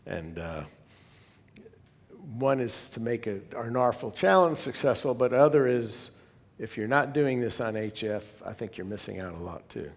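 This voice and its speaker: A man speaks in a big reverberant space, his words trailing a long echo. He presents to fellow hobbyists as a club member would, informally and conversationally, drifting into asides. His pitch sits in an ordinary middle male range. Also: lively intonation, a little slow.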